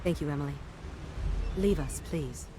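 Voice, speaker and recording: A woman's voice: sits low, moderate pace, lightly acted. A woman playing a regal, compassionate empress and mother in a video game, formal and commanding. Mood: firm, regal. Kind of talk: dramatic video game acting. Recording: game scene with loud ambient noise.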